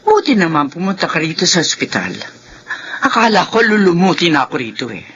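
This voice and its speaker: A woman's voice: lowish voice, big pitch swings, a little fast, a bit bright. An actress playing a bitter, accusing older mother-in-law in a radio drama, scolding her daughter-in-law. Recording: dull lo-fi radio broadcast.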